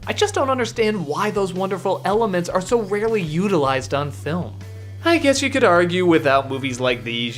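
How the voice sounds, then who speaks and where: A man's voice: mid-range voice, a bit bright. A man giving scripted film review commentary in a conversational, wryly opinionated way.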